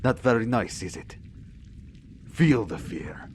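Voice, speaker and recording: An actor playing a cold, mocking torturer in a radio drama, taunting and full of menace. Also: male voice, medium pitch, expressive, slow pace, darkish tone, slight background noise. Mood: sadistic taunting. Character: sadistic male torturer villain, cold and mocking.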